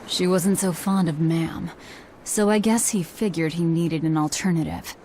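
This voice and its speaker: An actress plays a ruthless, guarded young woman in a video game. She speaks in a lowish, deadpan voice, terse and sardonic, with faint ambient noise. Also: unhurried, lightly acted. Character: cynical, guarded, ruthless young female avenger.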